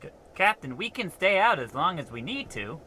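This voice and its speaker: Bright, tense, timid space crewman. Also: on the high side, very animated, moderate pace, slight background noise. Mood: nervous, deferential. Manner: hesitant, deferential. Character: timid, earnest, long-suffering male spaceship crewman. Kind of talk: old-time radio comedy acting.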